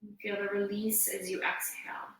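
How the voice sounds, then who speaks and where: A woman giving guided meditation instruction as a yoga teacher, in a gentle, unhurried, soothing way. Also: mid-range voice, flat delivery. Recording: echoey room, distant mic, faint noise.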